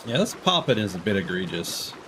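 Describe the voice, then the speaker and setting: Low, lively man streaming a game. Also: fairly quick, background noise. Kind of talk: casual live gameplay commentary. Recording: game music behind voice.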